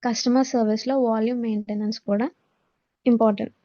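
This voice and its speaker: A woman's voice: on the high side, flat delivery, quick pace, mellow, lo-fi sound. A woman with a Telugu accent teaching in a lecture style, mixing English terms and read-out notes into her explanations. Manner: code-switches between Telugu and English, instructional.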